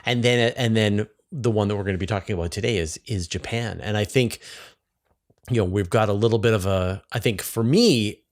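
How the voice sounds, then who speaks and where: A man's voice: fairly low pitch, a little fast. A man hosting a conversational interview, informal and thinking aloud as he rephrases. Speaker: interview host, space journalist.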